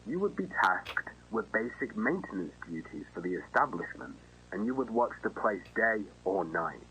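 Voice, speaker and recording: Bright, chatty restaurant manager, acted by a man. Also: on the low side, sing-song, moderate pace, rough recording. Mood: upbeat, reassuring. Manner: friendly, casual, reassuring. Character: chatty, easygoing restaurant manager interviewing a job applicant. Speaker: voice actor in an analog horror video. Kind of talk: acted phone conversation. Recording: faint noise and hum.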